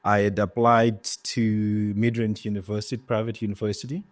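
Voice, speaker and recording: A man's voice: on the low side, level tone, normal speed. A man from Zimbabwe, a guest recounting his journey in a podcast interview, earnest and reflective, with many "you know" fillers. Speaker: guest entrepreneur.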